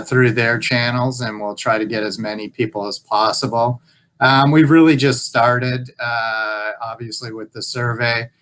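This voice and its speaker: A man giving an informal update on a conference call in a halting, hesitant way. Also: mid-range voice, bright, forceful tone. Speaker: local employer survey presenter. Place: group video call.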